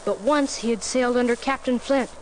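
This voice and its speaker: A man's voice: very high voice, steady tone, brisk, slight background noise, poor audio. A young male performer playing an earnest, eager cabin boy who narrates and acts in a storybook record with a youthful voice. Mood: earnest narrating. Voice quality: youthful, boyish. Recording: loud music behind the voice.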